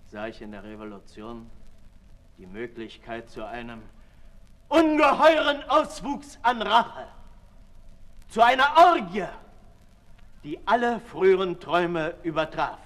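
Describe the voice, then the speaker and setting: An actor playing a brooding, disillusioned aristocrat in a 1960s German radio play, declaiming deliberately, from hushed to loud. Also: male voice, medium pitch, slight background noise. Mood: reflective. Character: Marquis de Sade, brooding disillusioned aristocrat, intense and self-lacerating. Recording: 1960s radio recording in reverberant hall.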